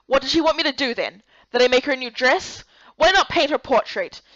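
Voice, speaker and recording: A woman voicing a gruff, irritable man with a brusque, sarcastic way of talking in a game story. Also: high voice, lively intonation, brisk, fairly bright tone, no echo. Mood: irritated, sarcastic. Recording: dull lo-fi close recording.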